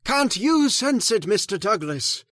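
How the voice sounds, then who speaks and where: A grave old occult scholar. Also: male voice, sits high, sing-song, a little slow, a bit bright, no room sound, studio quality, heavily acted. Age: older. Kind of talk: old-time radio drama acting.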